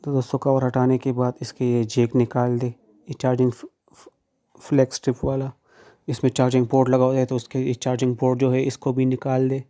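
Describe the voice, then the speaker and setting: A man's voice: medium pitch, completely flat delivery, a little fast, mellow. A man giving plain, informal step-by-step how-to instructions, speaking directly to the viewer. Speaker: phone repair tutorial presenter.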